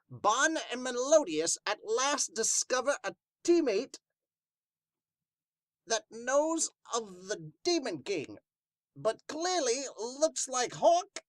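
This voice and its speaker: Man acting a promo announcer, upbeat, emphatic, drawn-out, raised pitch, dry close sound. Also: very animated, dry recording, full character voice. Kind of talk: scripted announcement reading.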